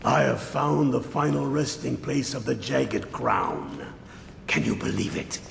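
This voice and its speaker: Very gravelly, eager rebel officer. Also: male voice, medium pitch, unhurried, roomy sound, long reverb tail, a little hiss or hum. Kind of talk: video game character acting.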